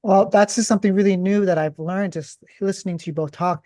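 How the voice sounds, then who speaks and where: A woman hosting an education interview, speaking in a measured, appreciative way. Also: medium pitch, fairly even intonation, mellow, dry recording, clean studio sound. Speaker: host. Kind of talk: podcast interview.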